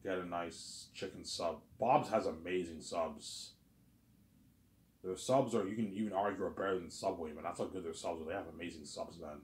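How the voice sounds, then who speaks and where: A man's voice: low-pitched, moderate pace, echoey room. A man in his thirties rambling casually in a monologue to his viewers, repeating himself and stumbling over words. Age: 30s. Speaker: vlogger.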